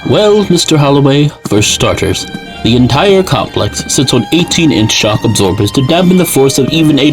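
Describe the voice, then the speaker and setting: A man's voice: medium pitch, lightly acted, low-quality recording. A man playing a polished, formal salesman who is eager to sell, in an audio drama. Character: polished male salesman pitching a luxury survival bunker.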